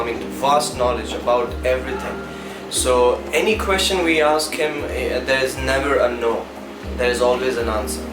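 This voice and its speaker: A man from India, a yoga student, giving a hesitant testimonial with many fillers. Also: mid-range voice. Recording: echoey, distant, guitar music behind.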